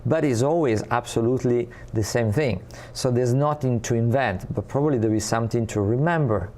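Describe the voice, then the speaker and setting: A man from Italy with an Italian accent, a guest in an interview, speaking earnestly and often pausing to find his words. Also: mid-range voice, a little mellow. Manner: earnest, searching for words with many pauses. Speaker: guest, spiritual community ambassador.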